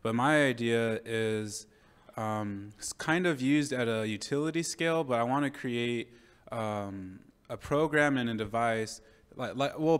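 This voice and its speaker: Loud, smooth-voiced young man. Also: mid-range voice. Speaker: master's student. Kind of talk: pitching an idea to a workshop.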